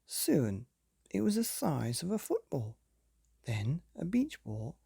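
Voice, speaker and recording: A man hosting and reading a children's bedtime story, with theatrical, dramatic emphasis and drawn-out words. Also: a little high, wildly swooping pitch, creaky, mellow, breathy edge, no room sound, studio quality. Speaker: podcast host and story reader.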